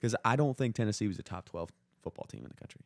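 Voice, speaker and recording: A man's voice: lowish voice, expressive, racing, vocal fry, no room sound, studio quality. A man co-hosting a podcast, giving opinions in casual sports debate. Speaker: podcast co-host.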